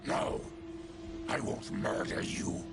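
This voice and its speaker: An actor playing a stern, honorable monstrous warrior in a video game, gravelly and growling, terse and solemn. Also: male voice, takes it slowly, over music, lightly acted. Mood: solemn, merciful. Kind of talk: dramatic video game acting.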